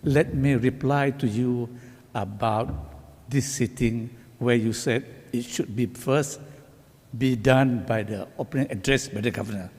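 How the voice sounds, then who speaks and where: A man's voice: medium pitch, unhurried, darkish tone, husky. A man with a Malaysian accent presiding over a legislature, giving formal procedural rulings in a firm, official manner. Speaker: assembly speaker presiding. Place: large legislative chamber. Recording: echoey hall with long reverb.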